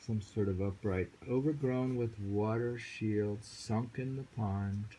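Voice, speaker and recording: Man, dark mellow voice, slow delivery, reads poetry and muses, casual chat. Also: on the low side, slight background noise, rough recording. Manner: slow, musing, with frequent hums. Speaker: poetry reader and host. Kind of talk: casual reading and discussion of poems.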